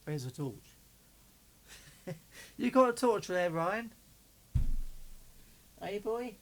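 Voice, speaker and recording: A man's voice: sits high, lively intonation, drawn-out words. A middle-aged man chatting casually and muttering to himself as he does a hands-on unboxing. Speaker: hobbyist presenter unboxing a CB radio. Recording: clear background noise.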